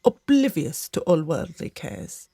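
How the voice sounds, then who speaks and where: Husky, creaky old gossiping servant woman, acted. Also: deep voice, sing-song, a little slow, dark tone, no room sound, exaggerated voice. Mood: protesting. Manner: conspiratorial, theatrical. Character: gossiping older servant woman, secretive and dramatic. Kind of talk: radio drama acting.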